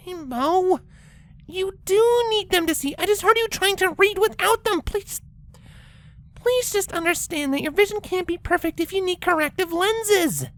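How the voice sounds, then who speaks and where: A woman's voice: very high-pitched, expressive, measured pace. A woman voicing a prim, bookish young pony princess in a fan fiction reading, precise and lecturing, patient but exasperated. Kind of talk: character acting in a fan fiction audio reading.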